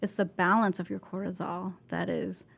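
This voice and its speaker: A woman in her thirties, born in Vietnam and raised in Canada, talking candidly as a podcast guest, with lots of fillers, restarts and laughs, on a boom-arm mic in a podcast studio. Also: level tone, moderate pace, creaky. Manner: chatty, laughs often, many fillers and restarts. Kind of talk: candid conversational interview. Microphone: desk mic on boom arm.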